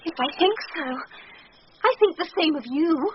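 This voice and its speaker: A woman's voice: extremely high pitch, lively intonation, moderate pace. A British woman playing a proud, lovestruck noblewoman, speaking stately and ardent verse in a Shakespearean radio drama. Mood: urgent, lovestruck. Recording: muffled 1990s radio broadcast, faint noise.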